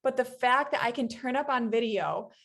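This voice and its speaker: A woman's voice: on the high side, moderate pace, fairly bright tone. A woman guest on a podcast, speaking enthusiastically and affirming the host. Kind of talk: casual podcast conversation.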